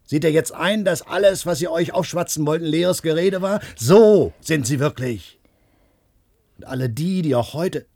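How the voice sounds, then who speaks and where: An actor playing an embittered young ex-soldier in a radio play, grim and argumentative. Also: male voice, a little high, normal speed, a little mellow, no echo. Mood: bitter, agitated. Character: embittered young ex-soldier, doubting his father.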